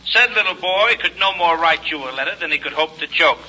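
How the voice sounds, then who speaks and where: An actor playing an irritable, sardonic police lieutenant over a telephone filter in a 1950s American radio drama, with an American accent. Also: male voice, fairly high pitch, fairly quick, sharp tone. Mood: impatient, blunt. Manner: sardonic, impatient, emphatic. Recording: thin, dull vintage radio recording.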